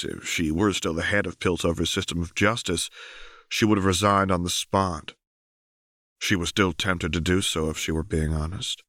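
A man with a low voice narrates a dramatic piece of fiction. The sound is close and dry, in the style of a scripted audiobook reading. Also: fairly bright tone, no echo.

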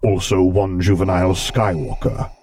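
A man voicing an imposing, terse dark lord with a grave, formal, measured delivery. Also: sits low, fairly even intonation, slight background noise, natural voice. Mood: dry, firm.

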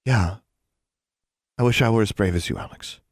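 A man voicing a shy, caring schoolgirl witch in a story, soft and earnest. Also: lowish voice, moderate pace, no room sound, clean studio sound. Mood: gentle.

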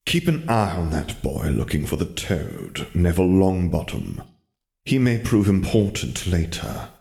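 Man voicing a low, quietly menacing male spirit mentor. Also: very animated, gravelly, full character voice. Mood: quietly commanding. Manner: measured, low-key, deliberate. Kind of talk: audiobook character voice.

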